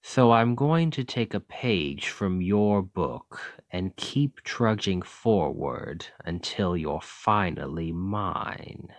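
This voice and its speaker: Man as a slow-talking, smug demon king. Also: low voice, expressive, no echo, studio quality. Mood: frustrated, determined. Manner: slow, deliberate, condescending, seductive menace. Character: smug, patient male demon king, coaxing and domineering villain. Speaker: ASMR roleplay voice actor. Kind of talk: scripted ASMR roleplay monologue.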